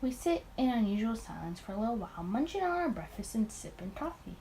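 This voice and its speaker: Tired, awkward detective narrator for a visual novel , read by a woman, animated intonation. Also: fairly high pitch, fast talker, a little hiss or hum. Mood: weary, awkward.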